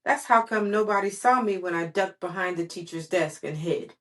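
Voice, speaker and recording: A woman's voice: lowish voice, moderate pace, natural voice. A woman reading as a grouchy, outspoken little kindergarten girl from a storybook, blunt and emphatic in childlike grammar. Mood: proud, confiding.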